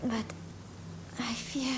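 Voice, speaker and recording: A high, soft voice over clear ambient noise. a young woman in a game drama, polite and anxious, who speaks slowly and with care. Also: flat delivery. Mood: worried, hesitant. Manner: polite, soft-spoken, measured. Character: anxious, polite young Japanese wife pleading for her brother. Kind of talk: video game cutscene acting.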